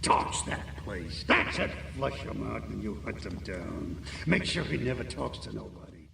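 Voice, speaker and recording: A man's voice: lowish voice, expressive, unhurried, vocal fry, echoey room, in a large hall, distant mic, noisy background, heavily acted. A man playing a crooked, middle-aged American cop in a video game, agitated, scheming and full of streetwise slang. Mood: urgent contempt. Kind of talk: video game cutscene acting.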